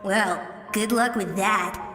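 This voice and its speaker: A woman's voice: medium pitch, fairly even intonation, takes it slowly, natural voice. A woman voicing a boastful, playfully competitive young girl in an anime-style video game, teasing and smug. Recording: long echoing reverb, music underneath.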